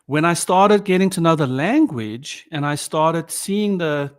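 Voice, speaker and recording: A man's voice: on the high side, lively intonation, smooth. Reflective South African man, native Afrikaans speaker. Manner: reflective, halting with frequent restarts. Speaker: podcast guest. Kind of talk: casual conversation.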